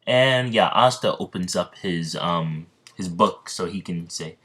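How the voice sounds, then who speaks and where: A young man with a very low voice tells a made-up story off the cuff. He sounds sleepy and rambles, often restarting his sentences. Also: a little slow, a bit bright, breathy edge. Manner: rambling, many ums and restarts. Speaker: YouTuber narrating a fan story. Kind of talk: casual improvised storytelling.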